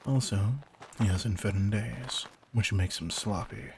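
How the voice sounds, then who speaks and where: A man performs a seasoned vampire hunter with a very low, gravelly voice. He speaks slowly and deliberately, commanding and faintly predatory, often sinking into breathy murmurs. It is an intimate audio drama with ambient noise behind him. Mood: focused, commanding. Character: veteran male vampire hunter, intense, commanding, secretly predatory. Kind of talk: slow-burn roleplay audio drama addressed to the listener.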